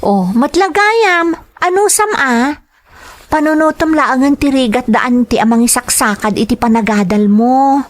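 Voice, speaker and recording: A woman's voice: high voice, unhurried. A woman acting out a dutiful college-age daughter in an audio drama, with an Ilocano accent. She sounds young, earnest and a little fretful. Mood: chiding, earnest.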